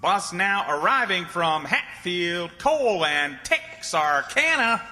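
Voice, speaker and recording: A man's voice: high voice, sing-song, slow pace, pushed and bright, slight background noise, exaggerated voice. A man playing an officious bus depot announcer in a radio comedy, calling out in stretched public announcements. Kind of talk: old-time radio comedy acting. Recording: hall reverb, distant stage sound.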